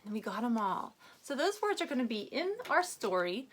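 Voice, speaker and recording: Lively, high-voiced woman teaching young children. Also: moderate pace. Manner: instructive, asking questions and prompting young children. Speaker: first-grade teacher. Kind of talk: children's reading lesson with read-aloud.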